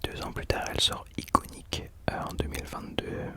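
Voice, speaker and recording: A young man whispering casual ASMR commentary as a host, hesitantly searching for his words. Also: fairly quick.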